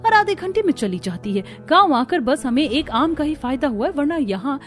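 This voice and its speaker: A woman voicing a chatty, somewhat pert young daughter-in-law from the city in an animated story. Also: high-pitched, normal speed. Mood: complaining. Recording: music under the voice.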